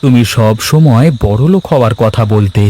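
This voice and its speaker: A man voicing a poor, gentle farmer husband in a Bengali cartoon fairy tale, speaking earnestly and soothingly with a Bangladeshi accent. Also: medium pitch, moderate pace, lightly acted. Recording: background music under the voice.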